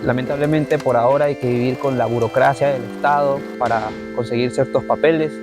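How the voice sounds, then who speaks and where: A man with a Venezuelan accent speaking slowly and reflectively as a migrant in a documentary. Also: mid-range voice, fairly even intonation, music in the background. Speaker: migrant interviewee. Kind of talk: documentary testimony.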